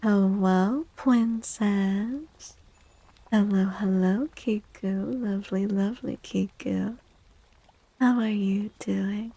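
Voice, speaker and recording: A woman hosts a soft-spoken ASMR stream in a mellow, breathy voice that often drops toward a whisper. Her delivery is slow and gentle, with a creaky edge, and she soothingly repeats little phrases to listeners. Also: medium pitch, fairly even intonation, no room sound, rough recording.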